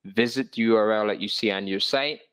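A man's voice: lowish voice, fairly quick, fairly bright tone, smooth. A man hosting a live sales webinar, pushing viewers to join his course and reading out their comments, often tagging on "all right?". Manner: pushy, upbeat, reads out viewer comments. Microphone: voice-over on screen-share slides.

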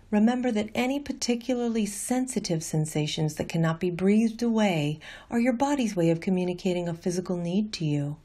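Female meditation guide: slightly low, warm and relaxed tone, gentle unhurried scripted instruction.

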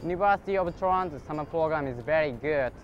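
A young man from Japan giving a short, halting testimonial outdoors. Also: a little high, lo-fi sound, slight background noise. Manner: halting, simple English. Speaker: student. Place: outdoors near a river.

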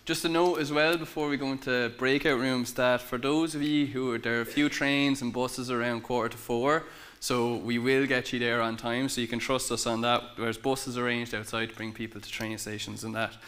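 A young man co-hosts from a conference stage, his voice bright and sharp at a mid pitch. He is upbeat and informal as he reads out notices and schedules to the hall. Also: flat delivery, moderate pace, hardly any pauses. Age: young adult. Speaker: conference co-host. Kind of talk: hosting announcements.

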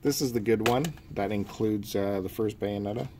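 A man's voice: on the low side, fairly even intonation, normal speed, darkish tone. Man chatting casually over noticeable background noise. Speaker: podcast co-host showing collection pickups. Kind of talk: casual chat, showing off purchases. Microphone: handheld phone camera mic. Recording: noticeable background noise, amateur phone video.

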